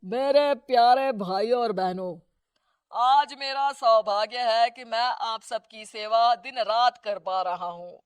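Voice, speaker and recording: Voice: medium pitch, slow pace, smooth, dry recording, studio quality, close to an everyday voice. A performer playing a domineering, corrupt middle-aged male politician husband in a Hindi cartoon moral story, bossy and dismissive. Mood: formal, speechifying.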